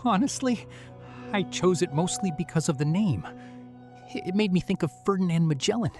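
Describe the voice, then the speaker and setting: A woman narrating a first-person horror story, frightened and at times breathy. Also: fairly low pitch, expressive, normal speed, a little mellow, soft background music.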